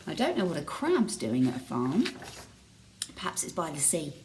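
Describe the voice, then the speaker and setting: A woman's voice: low voice, a little hiss or hum. A woman reading a picture book aloud expressively, with chatty asides to young listeners. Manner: expressive, deliberate.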